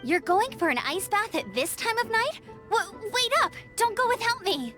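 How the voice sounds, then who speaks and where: Bright-spirited, high-voiced young scout girl. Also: animated, moderate pace, faint music behind, full character voice. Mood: eager, insistent. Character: bubbly, loyal young female scout, eager and friendly. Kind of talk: anime video game voice acting.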